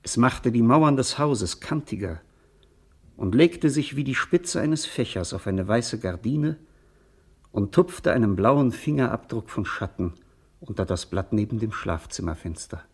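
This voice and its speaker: A man narrating a lyrical literary radio play in a contemplative manner, with a husky voice. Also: mid-range voice, lively intonation, a little slow.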